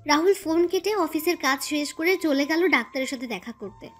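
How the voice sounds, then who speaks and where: A woman's voice: high-pitched, animated, a little fast, soft background music. A woman reading scripted story narration as the narrator.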